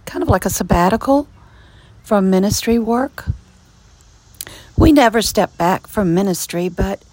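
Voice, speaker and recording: Hoarse, raspy woman podcaster. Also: mid-range voice, darkish tone, dry recording. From Virginia, USA. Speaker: podcast host and ministry leader. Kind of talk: casual devotional podcast talk. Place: screened-in porch outdoors.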